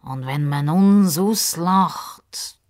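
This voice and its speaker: A woman voicing a pompous, grumbly male crocodile who talks in a ponderous, drawn-out way in a children's story. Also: sits low, a little mellow. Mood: self-important. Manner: ponderous, drawn-out, self-important speech.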